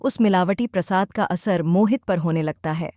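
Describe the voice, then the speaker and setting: Mellow female story narrator. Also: fairly low pitch, normal speed, no room sound, clean studio sound.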